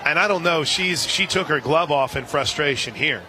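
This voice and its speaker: An American man calling live softball play-by-play on the radio, slipping into conversational asides between pitches. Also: normal speed. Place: outdoor softball stadium. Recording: noisy outdoor stadium broadcast, loud crowd. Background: crowd and stadium noise.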